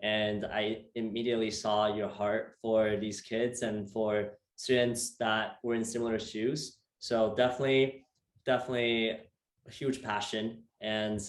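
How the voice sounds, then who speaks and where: A young man from Taiwan hosting a casual interview, upbeat and full of fillers and repeated words. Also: fairly low pitch. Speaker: host. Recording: echoey room.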